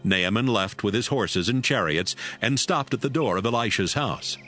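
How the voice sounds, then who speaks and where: A man's voice: fairly low pitch, expressive, normal speed, sharp tone, faint music behind. A man narrating a dramatized Bible reading as a scripted storyteller.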